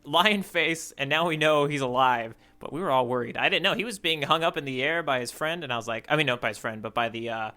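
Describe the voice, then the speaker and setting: A man's voice: fairly high pitch, quick pace, hardly any pauses, bright, forceful tone. A man co-hosting a casual comic podcast, talking playfully with mock-formal asides. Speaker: podcast co-host.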